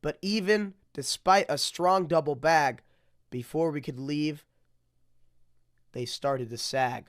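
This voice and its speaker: A person reading picture books aloud in a theatrical way, dropping into muttered deadpan asides. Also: mid-range voice, slow, pauses often. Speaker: storybook reader.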